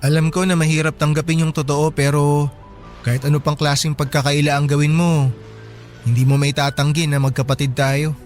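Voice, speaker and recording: A man's voice: on the high side, flat delivery, brisk, faint music behind, lightly acted. A man with a Filipino accent playing an earnest, wounded young half-brother who works as a porter in a radio drama. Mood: hurt, pleading. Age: young adult. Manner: pleading, gentle. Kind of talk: dramatized radio love story.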